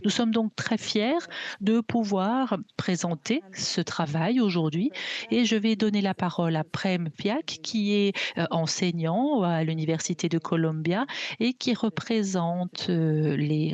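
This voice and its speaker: Woman interpreter, lowish voice, lots of uh and restarts while she keeps up live. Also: a little slow, darkish tone. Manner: hesitant, with frequent fillers and self-corrections. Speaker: simultaneous interpreter. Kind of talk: live simultaneous interpretation of a webinar.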